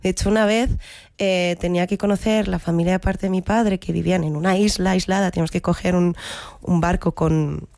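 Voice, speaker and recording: Spanish woman in a low, close voice. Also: fairly even intonation, a little fast, creaky, darkish tone, dry recording. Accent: Spanish from Spain. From Barcelona, Spain. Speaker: actress, interview guest. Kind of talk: conversational interview, personal reflection.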